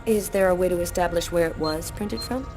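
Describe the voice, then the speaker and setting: A woman's voice: on the low side, natural voice. Composed female detective over music played by an actress. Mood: businesslike. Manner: businesslike, measured. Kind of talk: dramatic game acting. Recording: music under the voice.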